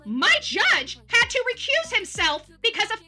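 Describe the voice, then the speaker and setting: A woman's voice: very high-pitched, very animated, unhurried, pushed and bright. A woman livestream host on an angry, combative rant, emphatic and outspoken, repeating herself for force and swearing at times. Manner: coarse, outspoken. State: angry, worked up.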